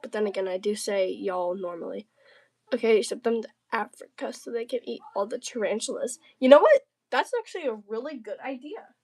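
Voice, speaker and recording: A woman from the southern United States chats casually on a livestream. Her voice sits a bit higher than most women's. She talks in a playful, slangy way, tossing out jokey banter as she replies to chat. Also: expressive, brisk, darkish tone.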